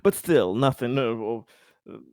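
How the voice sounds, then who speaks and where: A man playing a tabletop game, chatting with a jokey, mock-earnest streak. His pitch moves around in a lively way, and he is recorded dry and close. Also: a little slow, no echo. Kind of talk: casual chat.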